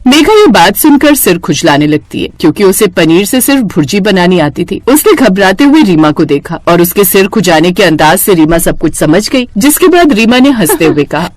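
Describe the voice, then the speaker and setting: A woman narrating a scripted cartoon story in an expressive storytelling manner. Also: medium pitch, normal speed, hardly any pauses, dry recording, faint music behind.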